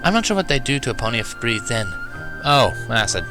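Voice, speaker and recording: A man's voice: mid-range voice, very animated, brisk, low-quality recording. A man narrating a first-person story in a dramatic reading, with music behind him. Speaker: fan fiction reader. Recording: music under the voice.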